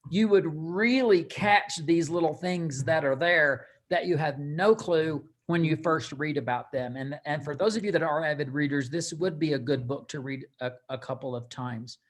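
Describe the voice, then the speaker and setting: A man hosting a casual book read-along as co-author, explaining in a rambling, halting way with repeated words. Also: fairly high pitch. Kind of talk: casual live book discussion.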